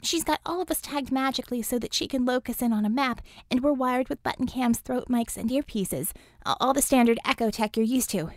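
A woman voicing an energetic, friendly superheroine teammate in an audiobook, chatty and informal. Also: high-pitched, brisk, few pauses, no room sound, pitched above the performer's own voice. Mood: brisk, explaining.

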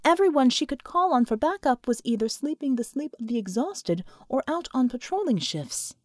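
A woman reading scripted fiction as an audiobook narrator, with expressive, dramatic storytelling. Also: high voice, normal speed, dry recording, studio quality.

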